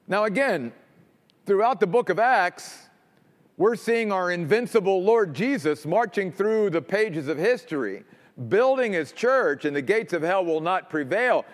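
A man preaching a sermon as pastor, emphatic, dropping low and quiet to stress points. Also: expressive, measured pace, long reverb tail.

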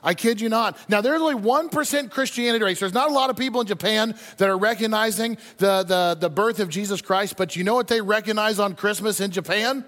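Male pastor, high-pitched voice, chatty informal sermon delivery with repeated phrases, long hall reverb. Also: brisk, hardly any pauses, fairly bright tone. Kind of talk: conversational sermon to a congregation. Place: large indoor church hall.

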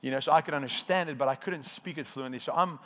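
A man's voice: fairly high pitch, fast, fairly bright tone. A man in his thirties with an Afrikaans accent and Afrikaans as his first language, preaching a personal testimony in an earnest, emphatic storytelling way. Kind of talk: sermon testimony.

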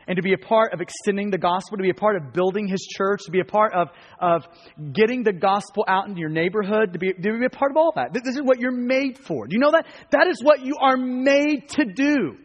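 An American man preaching a sermon to a congregation in an earnest, emphatic manner, repeating phrases for weight. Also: sits high. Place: large reverberant room, live before a congregation. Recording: long hall reverb.

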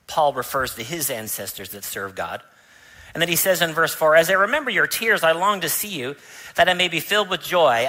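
Man, pastor, bright voice, storytelling sermon, emphatic at times, church service. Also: fairly high pitch, low-quality recording. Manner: storytelling, rising to emphatic preaching. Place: church sanctuary.

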